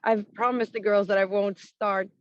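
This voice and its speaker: A woman from Sweden, a rock singer with a naturally raspy voice, now extra hoarse from touring, talking frankly in a casual interview with frequent laughs and restarts. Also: mid-range voice, fairly even intonation, moderate pace, no room sound, dull audio. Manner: frank, laughs often, many fillers and restarts.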